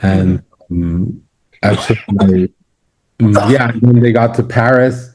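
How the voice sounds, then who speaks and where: A man's voice: fairly low pitch, measured pace, darkish tone, breathy edge, rough recording. A man from Ecuador speaking as a guest author in a video-call interview, hesitant and restarting his sentences often. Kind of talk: conversational interview.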